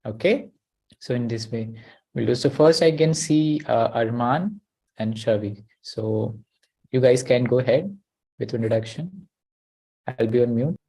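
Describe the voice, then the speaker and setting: A man's voice: medium pitch, a little slow, creaky, warm tone, breathy edge, low-quality recording. Give me a hesitant man leading an online class. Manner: hesitant, full of uh and you know. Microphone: video call.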